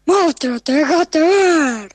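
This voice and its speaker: A woman's voice: high voice, animated, slow pace. A young woman playing a violent, angry father in a student horror radio story. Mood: furious. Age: teenager. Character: furious violent father, startled and frightened of his daughter.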